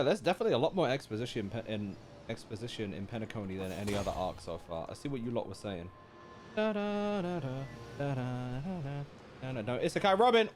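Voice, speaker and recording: A man's voice: mid-range voice, darkish tone, a little hiss or hum. A man streaming live, giving casual, slangy and joking commentary as he reacts to a game. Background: game music.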